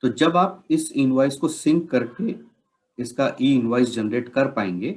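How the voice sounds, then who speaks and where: A man narrating an instructional software demonstration, explaining step by step and mixing in English technical terms. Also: mid-range voice, lively intonation, measured pace.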